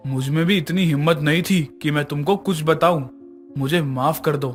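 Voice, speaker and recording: Male actor as a curt middle-aged family man in a story drama, slightly high-pitched, music behind. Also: normal speed, close to an everyday voice. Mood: remorseful, confessing. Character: brusque, guilt-ridden middle-aged husband and father. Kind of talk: acted dialogue for a narrated moral story.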